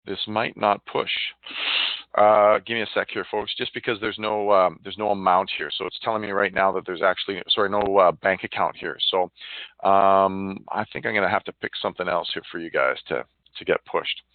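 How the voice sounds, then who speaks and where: A man giving a casual live software demonstration, chatty and informal, muttering to himself as he works. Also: sits low, steady tone, a little fast, no echo. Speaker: software demo presenter. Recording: narrow, phone-like line, dry.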